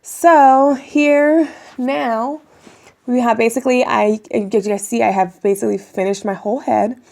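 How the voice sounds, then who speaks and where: A young woman with a raspy voice from a cold, chatting casually to viewers while demonstrating a hair tutorial at home. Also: on the high side, steady tone, measured pace, a little mellow. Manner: chatty, addresses viewers as you guys, many ums. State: raspy voice getting over a cold. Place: bedroom at home, indoors.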